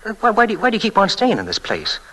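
A cocky young murderer in a vintage radio thriller. The voice is piercing and fairly high, swooping from soft insinuation to frantic nagging. He stammers and repeats himself, unstable and menacing. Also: huge pitch swings, husky, full character voice, low-quality recording. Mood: sly, probing. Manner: insinuating, halting repetitions, swings from soft to frantic. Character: cocky, unstable young murderer, a former hotel page boy. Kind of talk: old-time radio thriller acting.

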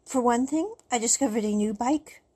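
A woman telling a casual personal story to the camera, chatty and animated, with frequent laughs. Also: fairly high pitch, moderate pace, slightly rough. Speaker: vlogger.

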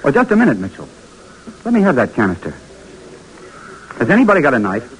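A man's voice: medium pitch, fast, a little hiss or hum, low-quality recording. An actor playing a determined young businessman who believes in his idea, in an old radio drama. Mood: earnest. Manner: earnest, expressive. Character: determined young male canning entrepreneur, earnest. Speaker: narrator and lead. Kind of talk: 1940s radio acting. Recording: old radio recording with music behind.

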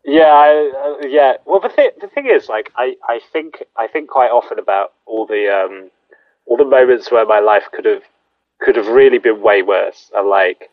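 A man's voice: medium pitch, moderate pace, dry recording. A man, chatting in a rambling, self-deprecating way full of fillers. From England, near Sheffield. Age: 30s. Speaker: podcast guest. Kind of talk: casual chat. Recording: dull lo-fi sound.

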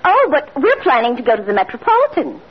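A woman's voice: sits high, wildly swooping pitch, fast, husky, exaggerated voice. An actress playing a fussy, hesitant, fluttery society club lady in an old radio comedy. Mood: flustered. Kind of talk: 1950s radio comedy acting. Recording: very noisy muffled old radio.

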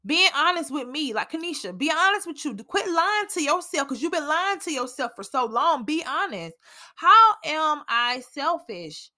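A candid, chatty woman in her early thirties hosts her own solo podcast. Her voice is bright and forceful and sits a little high. She laughs easily as she talks. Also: very animated, normal speed. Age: 30s. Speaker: podcast host, stay-at-home mom. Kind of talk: casual solo podcast talk.

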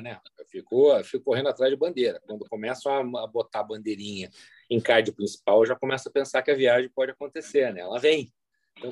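A man's voice: mid-range voice, darkish tone, no echo. A Brazilian man speaking as a guest interpreter in a casual, anecdotal chat, using slangy, colloquial speech.

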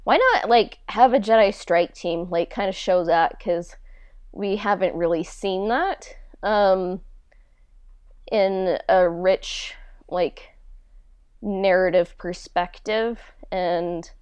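A woman talking casually and haltingly in a podcast interview, as the show's creator answering questions. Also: mid-range voice, darkish tone, clear, resonant tone, dry recording. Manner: halting, conversational, frequent "like".

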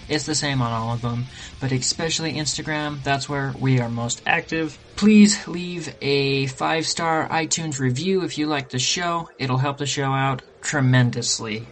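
Mid-pitched male podcast host. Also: fairly bright tone. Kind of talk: podcast outro announcement.

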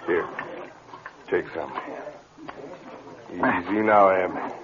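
An actor playing a tough, suspicious outlaw gang boss with a gruff, bossy manner in an old radio western. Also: male voice, sits low, very slow. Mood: wary. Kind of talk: 1940s-50s radio western acting. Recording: tinny, noisy old radio recording.

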